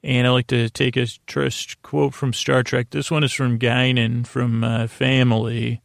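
A man hosting a bedtime podcast in a creaky, slightly smoky voice, rambling along in a halting, soothing, wordy way. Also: medium pitch, level tone, dry recording, clean studio sound. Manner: meandering, halting, soothing, verbose. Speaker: podcast host.

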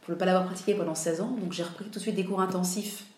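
A woman's voice: on the low side, steady tone, very fast, darkish tone, slight background noise. A woman from France talking casually as a podcast guest, hesitating often with fillers and restarts. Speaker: interview guest. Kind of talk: casual interview conversation. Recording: roomy echo.

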